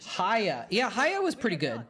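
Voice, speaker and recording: A man's voice: high voice, huge pitch swings, a little slow, fairly bright tone. A man chats loosely on a live stream. His voice sounds worn from hours of talking, and he keeps restarting sentences and answering viewers on the fly. Music plays steadily underneath him. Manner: rambling, self-correcting, reads and answers chat aloud. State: voice tired after hours of talking. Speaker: streamer and former game caster. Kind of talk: casual live-stream chat while watching old matches.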